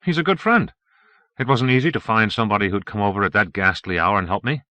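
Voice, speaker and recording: A man's voice: on the low side, quick pace, sharp tone. A man voicing a glib, defiant citizen under questioning in an audiobook, breezy and protesting. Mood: matter-of-fact. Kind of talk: audiobook character acting.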